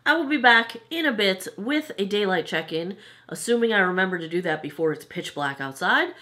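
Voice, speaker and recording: A woman's voice: mid-range voice, animated, a little fast, bright, forceful tone. A woman in her forties giving a chatty, self-amused makeup review at her home vanity, her voice slightly off from a cold. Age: 40s. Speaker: beauty vlogger.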